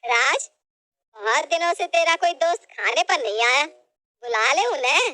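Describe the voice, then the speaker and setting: A woman's voice: high voice, lively intonation, a little slow, no room sound, studio quality, heavily acted. A woman voicing a stern, scolding middle-aged mother-in-law in a storybook tale. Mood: concerned. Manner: scolding, sharp and demanding. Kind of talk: storybook character voice.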